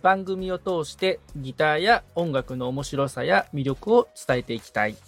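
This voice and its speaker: A man's voice: on the high side, moderate pace, fairly bright tone, faint music behind. A man speaking as a podcast assistant, thinking aloud tentatively in a casual, reflective discussion. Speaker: podcast assistant, guitar repairman being coached.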